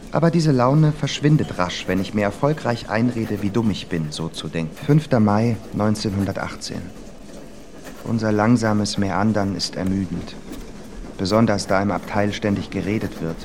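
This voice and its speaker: A man's voice: lowish voice, background noise, lightly acted. An actor playing a travelling composer, a man reading out his reflective diary in a radio play. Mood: wry, restless. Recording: prominent music behind the voice.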